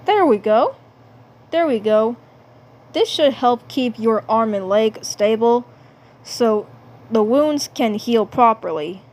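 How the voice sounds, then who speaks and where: A woman voicing a caring male cartoon helper, earnest and reassuring, in a deliberate, repetitive delivery. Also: a little high, expressive, a little mellow. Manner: deliberate, repeats phrases. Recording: faint background noise.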